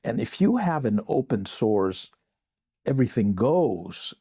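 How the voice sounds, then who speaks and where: Earnest man with a mellow voice. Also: medium pitch, sing-song, husky, dry recording, clean studio sound. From Samoa. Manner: earnest, self-deprecating, speaks in halting restarts. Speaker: podcast host. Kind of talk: casual faith podcast conversation.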